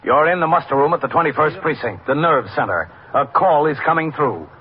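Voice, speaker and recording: An actor playing a commanding New York police precinct captain in a 1950s radio drama, on an old recording. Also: male voice, fairly high pitch, animated, a little fast, husky, lo-fi sound. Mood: measured, authoritative.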